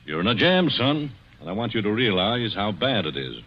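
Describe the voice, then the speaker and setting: An actor playing a firm, methodical police inspector who questions a suspect in a 1940s radio drama. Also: male voice, on the low side, sing-song, normal speed. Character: shrewd male police inspector, fatherly and firm. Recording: muffled 1940s radio broadcast with faint hiss.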